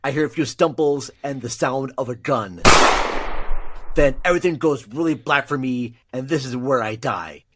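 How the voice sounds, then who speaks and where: A man playing a scheming blackmailer who narrates a murder mystery audio drama in a deliberate, dramatic manner. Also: medium pitch, heavy background noise, natural voice. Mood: darkly comic. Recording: music bed under dialogue.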